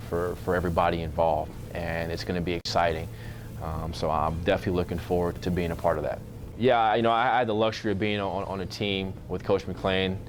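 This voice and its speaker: A man in his thirties, a basketball coach, speaking in a measured, earnest way in an informal interview. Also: low-pitched, noisy background. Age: 30s.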